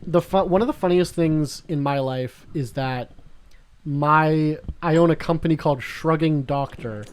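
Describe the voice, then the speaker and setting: A man's voice: on the high side, a little mellow. Joking, rambling man podcast host. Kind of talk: casual chat.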